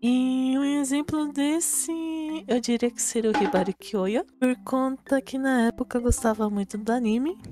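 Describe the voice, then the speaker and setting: Mellow, higher-pitched Brazilian woman. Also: moderate pace, soft background music. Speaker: guest. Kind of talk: casual chat.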